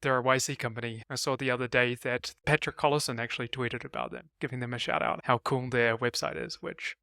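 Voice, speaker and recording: A man hosting an interview, firing off brisk, upbeat rapid-fire questions. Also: medium pitch, steady tone, gravelly, bright, forceful tone, dry recording, studio quality. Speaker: interview host.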